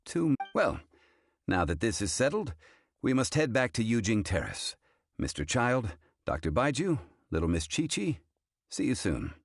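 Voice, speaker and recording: An actor playing a dignified, composed gentleman scholar in a video game, speaking formally and courteously. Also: medium pitch, big pitch swings, moderate pace, no echo.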